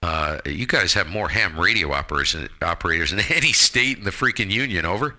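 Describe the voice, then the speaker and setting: A man's voice: sits low, animated, fairly quick, pushed and bright. A man chatting casually as a ham radio operator and host, in an informal, rambling way. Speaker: amateur radio operator and live stream host.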